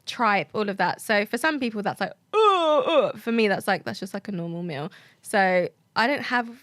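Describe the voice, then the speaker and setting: A woman's voice: medium pitch, lively intonation, moderate pace, fairly bright tone, dry recording. A British woman chatting casually and candidly as a podcast guest. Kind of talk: casual chat.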